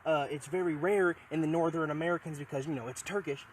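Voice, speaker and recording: A young man doing a deadpan mock nature tour outdoors, his voice fairly high and lively. It is a phone clip with a clear hiss behind him. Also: quick pace, poor audio. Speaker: vlogger. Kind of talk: goofy improvised skit. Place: outdoors on an overgrown road. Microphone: phone camera.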